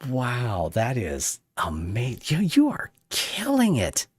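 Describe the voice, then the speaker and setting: A man hosting a casual podcast interview, asking halting, rambling questions that double back on themselves. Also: medium pitch, wildly swooping pitch, husky, no echo, clean studio sound.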